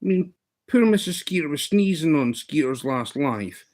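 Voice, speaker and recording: A man's voice: a little high, animated, moderate pace, a little mellow. A Scottish man in his fifties hosting a casual live stream, rambling playfully with lots of ums and restarts. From Scotland. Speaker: livestream host.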